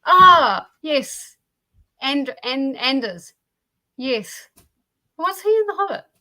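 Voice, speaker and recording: A woman's voice: sits high, big pitch swings, slow pace, pushed and bright. A woman hosting a livestream, chatting casually. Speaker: host of a livestream.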